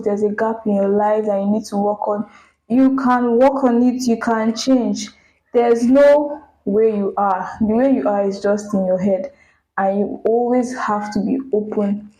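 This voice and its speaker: A soft-spoken woman with a Nigerian accent, hosting a casual solo podcast and chatting candidly, speeding up when she tells stories. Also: medium pitch. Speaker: podcast host. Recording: roomy echo.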